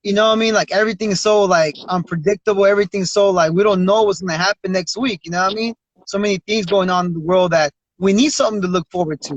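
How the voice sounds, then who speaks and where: Male host, high-pitched, casual slang and encouragement, recorded dry and slightly muffled. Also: quick pace, dry recording. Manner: slangy, encouraging, often says "bro" and "you know what I mean". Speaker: podcast host. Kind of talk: casual conversational interview. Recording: dry, dull lo-fi sound.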